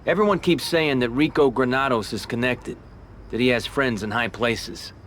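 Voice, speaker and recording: A businesslike young-blooded mafia boss acted by a man from a video game. He talks tersely and confidently in a medium-pitched voice, with noticeable vehicle ambience behind him. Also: moderate pace, fairly bright tone, slight background noise, natural voice. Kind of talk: video game cutscene acting.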